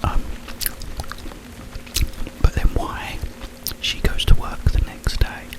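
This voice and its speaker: Slow, whispering man in ASMR style. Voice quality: breathy, unvoiced whisper. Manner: slow, halting, informal storytelling. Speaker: ASMR content creator. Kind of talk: whispered ASMR ramble, informal film retelling.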